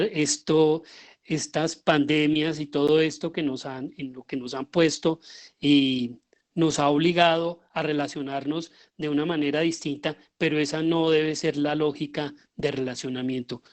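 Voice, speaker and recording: A middle-aged Colombian man speaks as a guest lecturer. His delivery is informal and reflective, with pauses as he looks for the right word. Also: on the high side. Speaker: guest lecturer, theatre and radio drama director.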